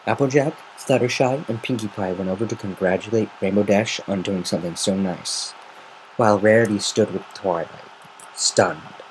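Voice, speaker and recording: A man's voice: on the low side, moderate pace, slight background noise, poor audio. A man narrating a story in a semi-dramatic amateur reading. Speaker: amateur fan fiction reader.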